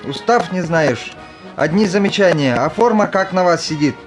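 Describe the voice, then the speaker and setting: A man's voice: high-pitched, animated, moderate pace. A man in an audio play acting a stern young army commander who barks orders at recruits. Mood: scolding. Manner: barking, commanding. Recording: music under the voice.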